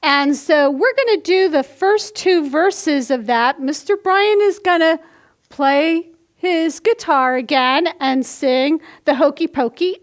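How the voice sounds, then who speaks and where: A woman with a high voice speaks slowly, as a children's storytime host would. She explains simple movements step by step, demonstrating as she goes.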